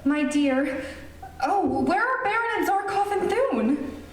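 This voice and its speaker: Woman playing a very high-pitched damsel in distress. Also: animated, slow pace, full character voice. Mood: adoring. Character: devoted, frightened heroine, a melodramatic damsel in distress. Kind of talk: old-time radio serial acting. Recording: very echoey distant stage recording, faint noise.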